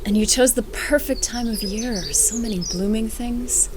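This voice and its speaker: A woman's voice: a little high, fairly even intonation, normal speed, background noise, close to an everyday voice. Woman playing an affectionate, teasing space commander. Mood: fond, teasing. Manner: wry, gracious, playful. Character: affectionate, teasing female commander from another planet. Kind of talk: audio drama acting.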